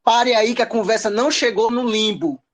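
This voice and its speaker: A woman's voice: a little high, normal speed, lightly acted. A woman playing a haughty, self-justifying male king of the gods in a pompous, declamatory comedy audio drama. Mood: indignant scolding. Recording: music behind the voice. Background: music.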